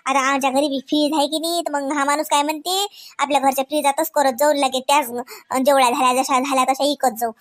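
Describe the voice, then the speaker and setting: A woman's voice: sits high, steady tone, fast, lightly acted. A woman voicing a chatty, unpolished village sister-in-law in a comic cartoon, with a Varhadi Marathi accent and a folksy, rambling, word-searching manner. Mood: chatty, explaining.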